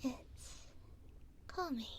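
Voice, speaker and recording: A woman playing a gentle, curious elf prisoner in an ASMR roleplay, speaking softly and hesitantly, close to a binaural microphone. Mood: sheepish, confiding. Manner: slow, soft, hesitant with gentle pauses.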